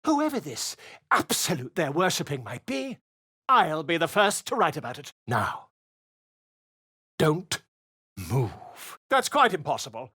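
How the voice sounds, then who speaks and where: A man's voice: on the high side, huge pitch swings, measured pace, long pauses, pushed and bright, breathy edge, no room sound, clean studio sound, heavily acted. An actor playing a pompous, flamboyant male author and chronicler who is inquisitive and fussy, speaking in a theatrical, florid and wordy way in a video game.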